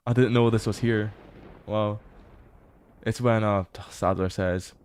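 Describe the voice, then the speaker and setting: A young British man livestreaming as he explores a game. He chats casually, swears freely and bursts into excited exclamations. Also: lowish voice, takes it slowly, smooth.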